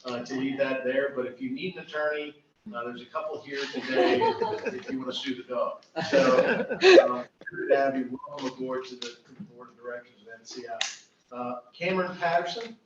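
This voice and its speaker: A man's voice: medium pitch, big pitch swings, normal speed, heavy background noise. A man chairing a board meeting, welcoming members with joking remarks and many "uh" fillers. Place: meeting room. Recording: echoey room, distant, muffled mic.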